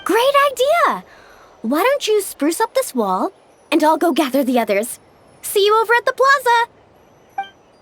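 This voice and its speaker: A woman voicing an upbeat, caring young tour guide in a video game, chatty and animated. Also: very high voice, measured pace, long pauses, full character voice. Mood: excited. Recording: background music.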